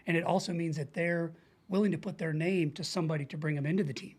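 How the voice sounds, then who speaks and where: A middle-aged man with an American accent and a fairly high voice talks in a casual interview style. He sounds earnest and informal, explaining things as a coach would, with quick everyday examples. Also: steady tone, darkish tone, slightly rough. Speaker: podcast guest, leadership coach.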